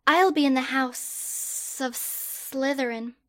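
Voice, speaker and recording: A woman voicing a soft-spoken, quietly menacing boy student who talks slowly in a hushed, breathy way. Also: high voice, no room sound.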